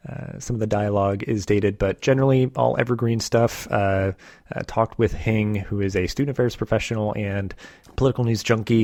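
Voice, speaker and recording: A man hosting a podcast, talking in a relaxed, casual way with lots of ums and uhs. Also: on the low side, no room sound, clean studio sound. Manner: relaxed, filler-heavy talk with many ums. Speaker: podcast host. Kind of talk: casual podcast intro and interview.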